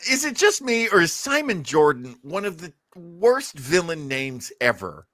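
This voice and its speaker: A man hosting a podcast, giving animated, jokey, casual commentary on old radio shows. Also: on the high side, measured pace. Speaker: podcast host.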